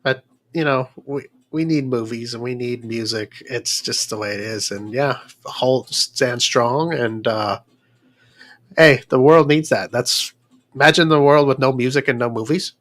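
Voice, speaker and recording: An eager male host leads a relaxed interview. He gushes over his guest, repeats himself and restarts his sentences as he goes. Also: medium pitch, moderate pace. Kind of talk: casual interview chat.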